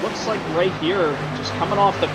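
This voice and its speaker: A man's voice: sits high, steady tone, normal speed. A man giving casual color commentary on an online race, explaining strategy with lots of fillers. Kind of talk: sim racing broadcast commentary. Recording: noisy track sound behind.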